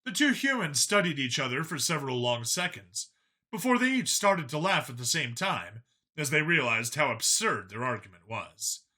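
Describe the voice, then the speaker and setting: A man narrates a story as an audiobook reader. His voice is very bright and forceful, set fairly high, with lively movement in pitch. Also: normal speed.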